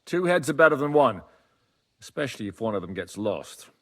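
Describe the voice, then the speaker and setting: A man playing a grave medieval commander in a game, speaking with measured, formal authority. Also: medium pitch, sing-song. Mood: grave, resolute. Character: grave medieval commander planning a desperate mission. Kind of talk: dramatic game cutscene acting.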